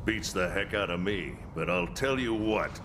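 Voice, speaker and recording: An actor playing a gruff, jovial veteran admiral in a video game cutscene, hearty and boastful with frequent chuckles. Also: male voice, sits low, moderate pace, noisy background, lightly acted. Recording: game cutscene with loud music.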